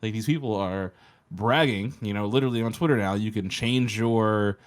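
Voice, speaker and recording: Male podcast panelist, lowish pitch, loose profane conversational delivery. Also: normal speed. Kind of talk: casual profane group chat.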